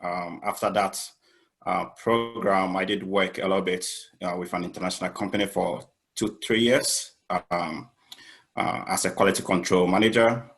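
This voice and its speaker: A man from Ghana, a chemist, introducing himself on an online panel, halting with many fillers. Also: low voice, steady tone, gravelly, a bit bright, soft background music. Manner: halting, many "um" and "you know".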